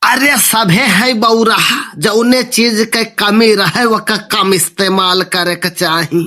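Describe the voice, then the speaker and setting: Man voicing a bright-voiced, grumbling Awadhi cartoon father. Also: sits high, fairly even intonation, takes it slowly, exaggerated voice. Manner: complaining, sarcastic. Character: grumbling, exasperated village father and husband. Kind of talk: comic cartoon dialogue.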